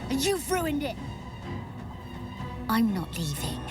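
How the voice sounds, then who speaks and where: A woman's voice: on the high side, sing-song, slow pace, music in the background. A woman voicing a lonely, hurt, defiant child in a video game, with a plaintive, petulant manner. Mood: upset, defiant.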